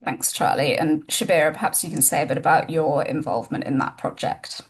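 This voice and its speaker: Husky low-voiced woman moderator. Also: a little fast. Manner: brief, polite hand-offs. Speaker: webinar moderator. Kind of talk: webinar moderating.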